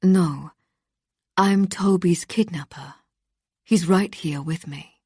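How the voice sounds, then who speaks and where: A woman voicing a calm, relaxed adult male kidnapper with a Russian accent in an audiobook. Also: on the low side, slow, husky, dry recording, clean studio sound, exaggerated voice. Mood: deadened. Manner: relaxed, even and controlled. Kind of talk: audiobook character voice.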